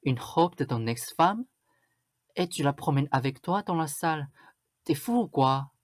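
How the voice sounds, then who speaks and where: A bossy, vain stepmother played by a woman in a radio play. She speaks slowly, with scolding insistence, as if nobody around her understands. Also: low voice, lively intonation, no room sound, clean studio sound. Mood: suspicious. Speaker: student actor. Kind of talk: radio drama acting.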